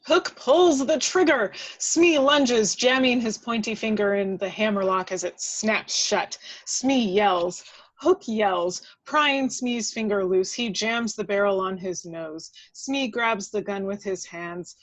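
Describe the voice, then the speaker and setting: A woman's voice: medium pitch, slow pace. A woman reading scripted action descriptions as the narrator of a table read. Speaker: stage-direction reader.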